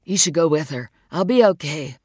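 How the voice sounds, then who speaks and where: A woman reader voicing a teenage boy who mumbles in a put-on hoarse, croaky voice. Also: deep voice, expressive, normal speed, darkish tone, dry recording, clean studio sound. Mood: faking.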